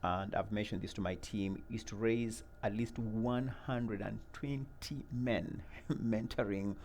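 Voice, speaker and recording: A middle-aged man, from Uganda, answering interview questions about a mentoring program in an earnest, measured way, with many fillers and repeated words. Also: on the low side, steady tone, darkish tone, slight background noise.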